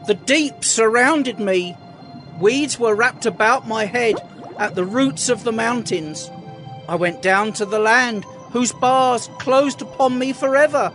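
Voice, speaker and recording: Brief: actor as Jonah, a desperate male prophet, very high and slow, earnest pleading. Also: fairly bright tone, full character voice. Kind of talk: audio drama acting.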